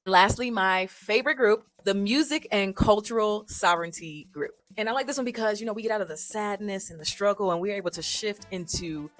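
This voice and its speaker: A woman vlogging to camera about films, chatty and animated, full of playful asides and slang. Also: medium pitch, fairly quick, few pauses. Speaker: vlogger reviewing films for language learners. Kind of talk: casual chat to camera.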